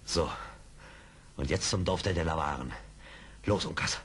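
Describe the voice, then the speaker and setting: An actor playing a calm, shrewd frontier scout in a radio play, speaking in a measured, commanding way. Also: male voice, extremely low pitch, full character voice. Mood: urgent. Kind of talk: 1970s German radio play acting. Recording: old record, clear background noise.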